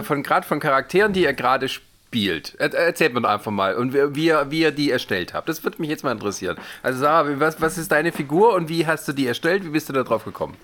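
A man's voice: on the high side, a bit bright. A man co-hosting a casual podcast chat, asking curious questions.

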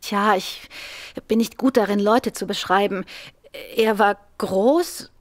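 Actress as nervous, halting woman in distress. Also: mid-range voice, lightly acted. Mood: nervous, earnest. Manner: hesitant, halting, earnest. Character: nervous, anxious woman seeking a detective's help. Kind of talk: radio drama acting.

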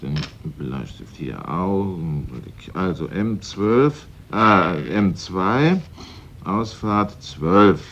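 An actor playing a flippant, easygoing insurance investigator in a German radio crime drama, with a wry, informal manner. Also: male voice, low-pitched, sing-song, drawn-out words, fairly bright tone, heavily acted. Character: Gregory Naylor, flippant male insurance investigator, easygoing ladies' man. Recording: muffled radio drama recording with noise.

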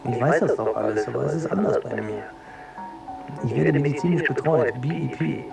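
A man's voice: mid-range voice, animated, moderate pace, creaky, loud music behind the voice. An actor playing an anxious, defensive male hypochondriac in a radio drama, rambling and self-justifying. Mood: aggrieved, anxious.

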